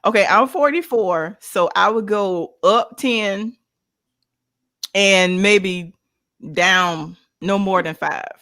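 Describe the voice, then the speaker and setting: A woman's voice: medium pitch, takes it slowly, fairly bright tone, no room sound, clean studio sound. A woman in her forties chatting casually and playfully with friends on a group show. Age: 40s. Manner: laughing, playful.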